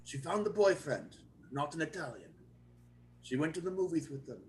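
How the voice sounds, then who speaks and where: An actor playing an aggrieved Italian immigrant father in a screenplay table read, halting and emotional as he pleads. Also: on the high side, expressive, lightly acted. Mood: subdued grief.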